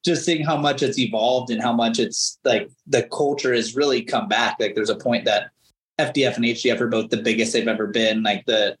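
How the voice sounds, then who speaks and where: A man's voice: mid-range voice, steady tone, a little fast, a bit bright. A man in his thirties, a native Greek speaker, chatting as a podcast guest with long enthusiastic run-on sentences. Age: 30s. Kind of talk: casual interview chat.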